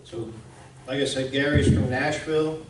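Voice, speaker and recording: A man presents informally to a group in a plain, folksy, slightly halting way. He sits at a middling pitch, heard a little away from the mic in an echoing room with noise behind him. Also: steady tone. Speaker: presenter, radio station founder. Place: indoor museum event room. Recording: roomy, distant mic, clear background noise.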